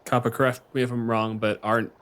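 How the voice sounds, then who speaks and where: A man's voice: medium pitch, little pitch movement, fast talker, a bit bright. A man speaking as game master, running a tabletop roleplaying game in conversational narration.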